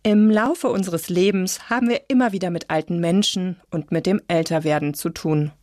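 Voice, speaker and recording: A woman reading a scripted science narration for radio in a measured, clear way. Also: lowish voice, steady tone, darkish tone, dry recording, studio quality.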